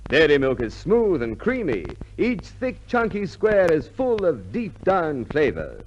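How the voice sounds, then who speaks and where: A male commercial announcer delivers a scripted ad in 1950s radio style. His pitch is high with lively swings. The vintage recording is dull, with faint noise. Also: a little slow.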